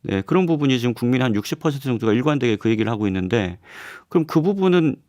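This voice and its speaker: Man, professor guest, studio radio interview, measured and explanatory, some fillers, dry close mic. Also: medium pitch, lively intonation, darkish tone, no room sound. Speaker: political science professor guest. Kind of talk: radio current-affairs interview. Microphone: studio microphone.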